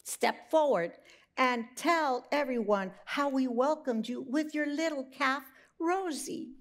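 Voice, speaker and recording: A woman voicing an earnest, bossy rooster in a children's story, with a crowing, deliberate delivery. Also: high voice, pitched above the performer's own voice. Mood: earnest, questioning.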